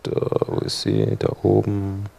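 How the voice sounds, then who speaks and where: A man with a fairly low voice leads a hands-on software workshop. He explains things informally as he works, talking himself through each step in a conversational, instructional way. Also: big pitch swings, unhurried, gravelly. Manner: informal, talking through clicks while working. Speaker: workshop presenter, audio editor. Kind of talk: live software demonstration, explanatory.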